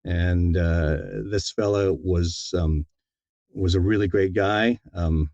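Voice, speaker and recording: A man speaking as a guest in a casual interview, in a halting, reflective and sincere way. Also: sits low, fairly even intonation, a little mellow, no room sound, highs cut off. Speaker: guest, audiobook narrator.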